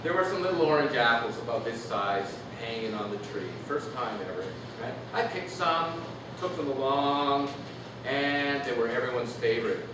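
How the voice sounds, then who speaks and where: A man's voice: mid-range voice, expressive. Echoey, distant man at a reading. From Canada. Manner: measured, deliberate delivery. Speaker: poet reading from own work. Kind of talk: live poetry reading with informal anecdotes. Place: echoey room at a live reading. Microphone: distant microphone. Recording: distant, echoey room with noisy background.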